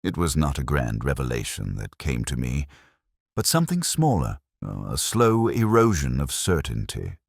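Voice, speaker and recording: A brooding detective played by a man narrates a mystery in an extremely deep voice. His delivery is measured and reflective, with a literary turn and plenty of rise and fall in pitch. The sound is dry, free of room echo, in the manner of an audio drama. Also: very animated, gravelly, exaggerated voice. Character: brooding, melancholy male English police detective narrating his case. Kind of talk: scripted first-person detective mystery narration.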